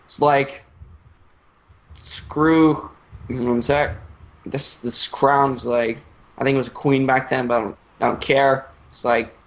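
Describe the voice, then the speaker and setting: A young man improvising a comic story in a casual, halting, rambling way with crude slang. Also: mid-range voice, steady tone.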